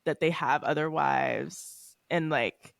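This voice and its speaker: A woman's voice: low voice, slow, vocal fry, no room sound, clean studio sound. A woman from Tanzania co-hosting a podcast, chatting casually, laughing easily and speaking frankly. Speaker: podcast co-host. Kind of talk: casual chat.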